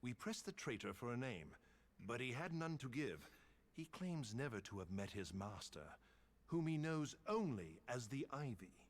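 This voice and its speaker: A man voicing a solemn military captain who speaks deliberately and formally, as if confiding a secret. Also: medium pitch, sing-song. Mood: grave, confiding.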